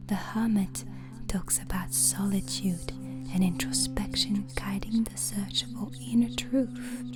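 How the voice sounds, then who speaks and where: A woman playing a gentle, hospitable witch in an ASMR roleplay, speaking in a breathy, soothing whisper. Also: mid-range voice, steady tone, close to an everyday voice. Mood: calm, instructive. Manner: slow, deliberate, soothing. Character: gentle, hospitable witch hosting a guest overnight. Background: music.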